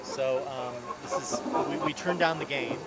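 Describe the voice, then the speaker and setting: A man giving a casual live demonstration outdoors, explaining in a halting way as he thinks aloud. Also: medium pitch, over loud music, low-quality recording. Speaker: presenter and drone operator of a radio experiment. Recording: outdoor recording with clear background noise.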